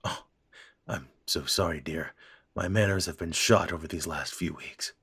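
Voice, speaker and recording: A man playing a deep-voiced, husky middle-aged father. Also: normal speed, a bit bright, dry recording, clean studio sound, exaggerated voice. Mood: awkward. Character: gruff middle-aged human father, stiff and uneasy. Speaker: voice actor. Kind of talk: audio drama acting.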